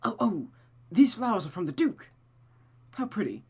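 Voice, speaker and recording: A reader voicing a flustered, earnest young nobleman with a halting, sheepish manner, in a visual novel read aloud. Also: medium pitch, very animated, breathy edge, voice pushed up, exaggerated voice. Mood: feigned delight.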